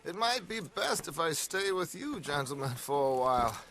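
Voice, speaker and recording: An actor playing a dapper, silver-tongued gentleman con man with a florid, genteel, unhurried manner in a video game cutscene. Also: fairly high pitch, very animated, slight background noise. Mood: evasive, genteel.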